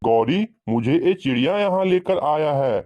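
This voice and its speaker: A man's voice: on the high side, animated, a little slow, mellow, highs cut off. A man voicing a proud, resentful adult male crow in a cartoon, speaking with dramatic emphasis. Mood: surprised.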